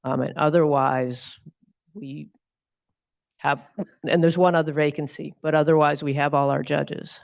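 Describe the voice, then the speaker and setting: Middle-aged lady judge chatting wry and easy, bit of gravel in her voice. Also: sits low, unhurried, long pauses, dry recording, studio quality. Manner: relaxed, wry, with light laughs. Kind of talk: conversational Q&A answers.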